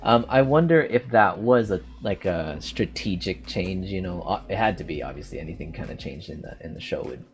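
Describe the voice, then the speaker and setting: A Canadian-accented man hosts a laid-back podcast chat in a slightly low voice. He rambles, restarts his sentences and wanders between thoughts. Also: expressive, brisk, few pauses. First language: English. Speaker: podcast host. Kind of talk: casual chat.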